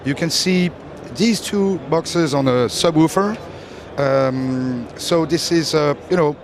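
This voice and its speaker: A man giving an unscripted, technical product presentation as a company representative, explaining things in a halting way. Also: medium pitch, background noise. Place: exhibition stand at a trade show. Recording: busy trade-show hall with crowd murmur.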